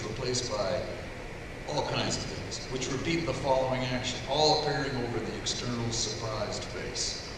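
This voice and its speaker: A man's voice: mid-range voice, lightly acted. A man narrating stage directions at a live table read, recorded from a distance in a noisy hall. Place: large convention room with live audience. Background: room ambience noise.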